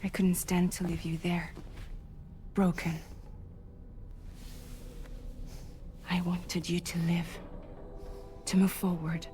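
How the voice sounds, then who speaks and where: An actress playing a devoted, earnest friend, tender and imploring with breathy phrases, in a video game cutscene. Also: female voice, fairly low pitch, little pitch movement, a little slow, warm tone, slight background noise, lightly acted. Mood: pleading, sorrowful. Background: music.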